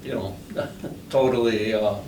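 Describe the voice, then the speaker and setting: Slow, halting older Dutch-born man. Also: on the low side. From Netherlands. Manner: slow, halting, many fillers. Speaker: interviewee. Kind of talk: oral history interview. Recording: roomy, distant, noisy recording.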